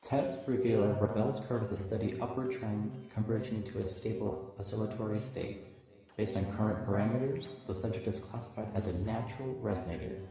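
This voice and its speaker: An actor playing a formal, clinical examiner in a video game, reading out a report in clipped phrases. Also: male voice, lowish voice, steady tone, fairly quick, warm tone, far from the mic, close to an everyday voice. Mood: detached, official. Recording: very echoey, faint music behind.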